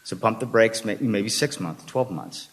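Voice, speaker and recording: An older man, raised in Texas, speaking during public comment at a city meeting and listing his points one by one in a measured way. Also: on the low side.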